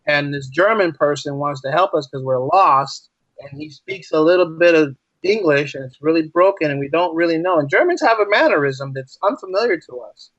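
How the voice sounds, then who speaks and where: A man's voice: a little high, expressive, moderate pace, hardly any pauses, a bit bright, husky, no room sound. Male American interview guest, emphatic and conversational, slightly dull audio with very little room sound. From United States. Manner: emphatic, repeats phrases for stress, uses rhetorical questions. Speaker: podcast guest, teacher.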